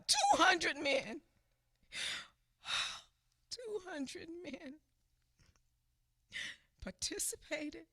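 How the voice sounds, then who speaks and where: A woman playing a grieving eyewitness in a radio theater monologue, telling her story haltingly and repeating phrases for emphasis. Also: high voice, pushed and bright, dry recording, studio quality, full character voice. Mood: anguished, near tears. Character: grieving female eyewitness recounting a mob lynching in anguish.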